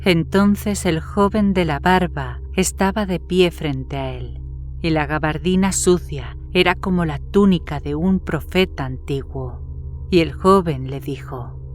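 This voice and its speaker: Low-pitched woman narrating a horror story. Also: measured pace, a little mellow. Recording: music behind the voice.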